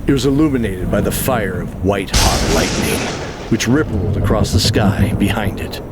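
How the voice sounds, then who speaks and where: Male fantasy narrator, low voice, scripted storytelling, clear ambient noise behind. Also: moderate pace.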